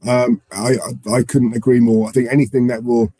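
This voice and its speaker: A man with a summer cold co-hosting a talk show, sounding congested and speaking haltingly with repeated words. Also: mid-range voice, level tone, mellow. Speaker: podcast co-host. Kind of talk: casual discussion.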